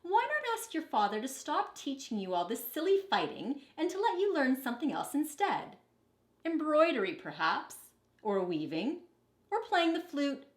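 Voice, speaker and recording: A caring, practical storybook nursemaid, read by a woman, pitched up and gently persuasive. The voice sounds in an echoey room, as if advising a child. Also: big pitch swings, moderate pace. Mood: gently coaxing. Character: sensible, caring female nursemaid advising a young princess.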